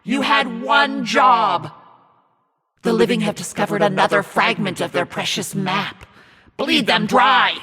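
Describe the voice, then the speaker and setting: A man voicing a menacing, scornful demonic narrator in a video game, commanding and mocking in a theatrical, raspy delivery. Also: sits high, bright, forceful tone, heavily acted. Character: demonic evil narrator, menacing, scornful, imperious servant of darkness. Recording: long reverb over music.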